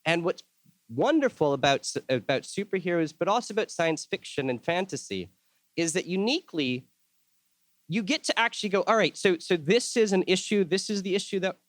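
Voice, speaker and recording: A man's voice: high-pitched, dry recording. A man who grew up in El Salvador, giving an enthusiastic workshop talk to an audience and often repeating words as he thinks. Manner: enthusiastic, repeats words while thinking, acts out quoted voices.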